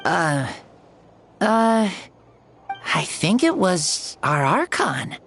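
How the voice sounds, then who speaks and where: A woman's voice: fairly low pitch, sing-song, a bit bright, soft background music, heavily acted. A woman voicing a frail, earnest young child in a video game, speaking hesitantly and with wonder. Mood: confused. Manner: hesitant, halting.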